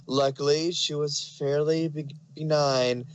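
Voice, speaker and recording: A man's voice: on the high side, steady tone, unhurried, clear, resonant tone, no echo, muffled recording. A man narrating fan fiction in an amateur dramatic reading style.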